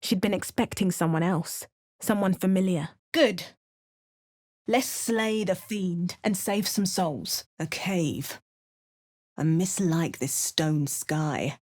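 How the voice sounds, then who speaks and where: A wry young adventurer heroine. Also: female voice, on the low side, slow pace, a little mellow. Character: wry adventurer heroine, young woman, tough and dry-witted. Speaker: player character voice. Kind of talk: video game voice lines.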